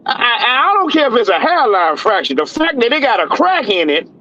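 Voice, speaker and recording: A man's voice: very high voice, big pitch swings, brisk, sharp tone, no echo, lo-fi sound. A man in his forties co-hosting a casual sports chat, laid-back and repeating himself, often laughing between points. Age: 40s. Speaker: podcast co-host.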